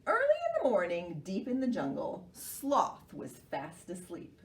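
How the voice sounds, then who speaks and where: A roomy recording, set back from the microphone, carries a woman's children's storytime. Her voice is lively and melodic. She narrates expressively and gently instructs with questions to the listeners. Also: mid-range voice, measured pace. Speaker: children's library storytime reader. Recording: roomy echo, far from the mic.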